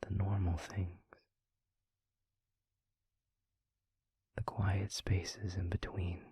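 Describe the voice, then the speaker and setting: A man playing a tender, wistful demon lover in an intimate audio roleplay, whispering softly and haltingly in a breathy, husky voice, close to the mic. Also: low-pitched, level tone, no room sound, heavily acted. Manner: slow, halting, soft-spoken delivery. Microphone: close microphone.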